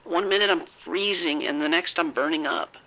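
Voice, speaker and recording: Male actor as a panicked, weakening bitten man in horror drama; high, gravelly, halting delivery. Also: sharp tone, muffled recording. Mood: anxious, sickly. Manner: halting, whispery, repeating himself. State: sick, weak, faltering. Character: frightened, sickening bitten man, unravelling and rambling. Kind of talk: horror audio drama phone call.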